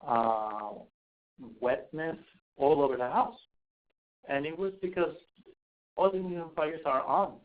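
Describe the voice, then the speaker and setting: A man from Costa Rica giving a webinar talk on home visits, hesitant, with many fillers and restarts. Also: on the high side. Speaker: asthma program home visitor. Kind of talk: webinar presentation. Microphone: webinar call mic. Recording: tinny phone-like webinar audio.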